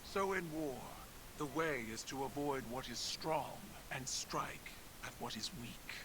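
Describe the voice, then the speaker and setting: An actor playing a grave, weary alien empire commander, speaking formally and deliberately in dramatic game narration. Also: male voice, medium pitch, expressive, background noise, natural voice. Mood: sober, cautioning.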